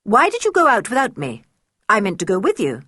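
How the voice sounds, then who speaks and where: A woman's voice: medium pitch, wildly swooping pitch, fairly quick, fairly bright tone, no room sound, clean studio sound. A woman voicing a stern, suspicious male tutor in a storybook reading, with clipped, reproving speech.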